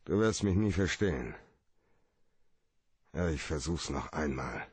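An actor playing a hard-boiled, cynical private detective in a radio play, with a rough-edged voice and a blunt way of arguing point by point. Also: male voice, a bass voice, expressive, a little slow, a little mellow, no echo, exaggerated voice. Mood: bitter, resolute.

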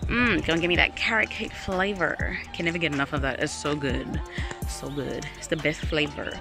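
A woman's voice: low voice, steady tone, moderate pace, over music. A young adult woman chatting in a casual, playful vlog style with slangy, joking turns. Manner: slangy, jokey. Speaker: vlogger. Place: outdoors on garden paths and trails, later an ice cream shop.

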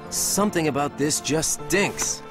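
A man's voice: fairly high pitch, measured pace, over music, lightly acted. An actor voicing an exasperated, sardonic young man in a video game, loyal beneath his drawling, emotional grumbling. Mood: uneasy, sardonic. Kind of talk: dramatic game cutscene acting.

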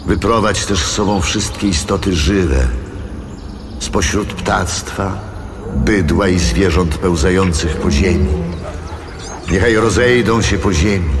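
Casting: God for scripture audio drama. Low, slow, measured, commanding. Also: male voice, noisy background. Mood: solemn command. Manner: solemn, measured, authoritative. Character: God of the Old Testament, solemn, commanding male deity.

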